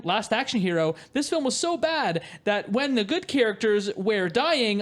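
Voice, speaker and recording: A podcast host talking casually, steering the panel and reading reviews aloud. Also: medium pitch.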